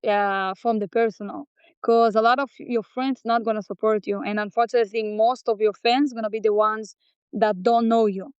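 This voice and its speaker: A woman's voice: fairly high pitch, fairly even intonation, normal speed, a little mellow, clean tone, no echo. A woman from Israel, a singer, earnestly talking about her work in loose English as an interview guest. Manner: earnest, loose non-native English grammar. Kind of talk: casual interview chat. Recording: dull, dry close-mic audio.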